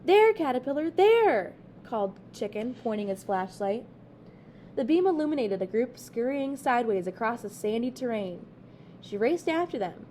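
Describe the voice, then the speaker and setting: A woman teacher reading a children's book aloud in expressive narration, phrased clearly for children. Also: on the high side, moderate pace, slight background noise.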